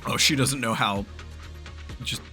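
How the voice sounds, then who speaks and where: A man giving casual, animated gameplay commentary as a streamer, swearing now and then. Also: medium pitch, measured pace, over music. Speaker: gaming streamer reacting to a story quest. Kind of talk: casual gameplay reaction commentary, sometimes reading dialogue aloud.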